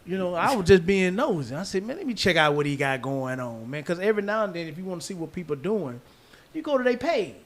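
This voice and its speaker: A man with a fairly high-pitched voice hosts a podcast. He chats casually and slangily, with animated, informal banter. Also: fast talker. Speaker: podcast host.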